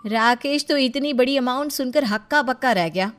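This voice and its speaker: A woman's voice: high voice, moderate pace, a little hiss or hum. A woman narrating a playful cartoon story in a conversational way, addressing listeners directly.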